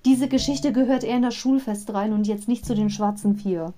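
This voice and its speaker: A woman's voice: on the high side, steady tone, fairly quick, dark tone, slight background noise. A woman hosting a fan podcast, retelling a children's audio story in a chatty, digressive way with personal asides. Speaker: podcast host.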